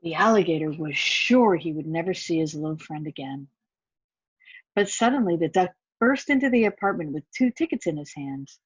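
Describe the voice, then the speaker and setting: A woman's voice: deep voice, lively intonation, normal speed, slightly rough, no room sound, muffled recording. A woman reading a children's picture book aloud, with asides to the young listeners. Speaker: volunteer story reader.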